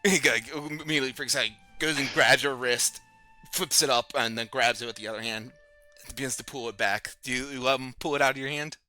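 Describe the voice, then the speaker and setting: A man runs a tabletop game as game master, narrating on the fly. His voice is sharp and bright at an average pitch, with faint music behind it. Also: fairly even intonation, fairly quick.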